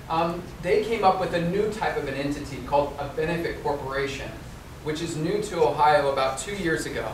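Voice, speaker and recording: A man's voice: a little high, far from the mic. A middle-aged man giving a conference presentation in a lecture room, conversational with many ums, quizzing the audience. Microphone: far room camera, no mic seen. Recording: echoey room recorded from afar, noisy.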